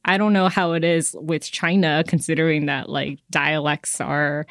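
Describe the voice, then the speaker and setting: A woman co-hosting a podcast, chatting casually about a book with lots of fillers and lively retellings. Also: low-pitched, moderate pace, no echo, studio quality. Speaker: podcast co-host.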